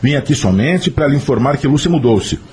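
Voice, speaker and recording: Man acting a firm, protective brother, medium pitch. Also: expressive, brisk, a little mellow, a little hiss or hum, close to an everyday voice. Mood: firm, composed. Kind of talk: audio drama acting.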